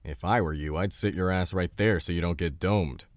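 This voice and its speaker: A man playing a gruff, bossy, battle-scarred survivalist in an audio drama, blunt, teasing and swearing. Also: low voice, moderate pace, no room sound, lightly acted. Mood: bossy, confident.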